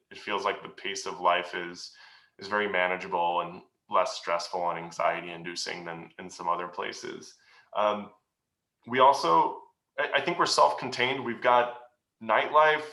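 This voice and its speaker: A man answering questions as a guest at a meeting, speaking in an earnest, reflective way with many pauses and restarts. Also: low voice, bright, forceful tone. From United States. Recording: roomy echo.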